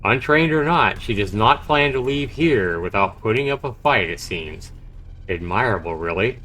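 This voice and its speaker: A man's voice: lowish voice, animated, moderate pace, pushed and bright. A man livestreaming a visual novel, reading the text aloud dramatically and reacting expressively as he comments. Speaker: game streamer. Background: game music.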